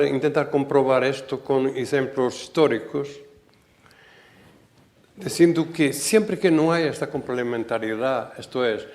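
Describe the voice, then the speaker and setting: Older man, Portuguese accent, Portuguese native speaker, halting public talk, self-correcting, wry, middle-pitched voice. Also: gravelly. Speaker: guest architect speaker. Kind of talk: public talk, reading a prepared text. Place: lecture hall.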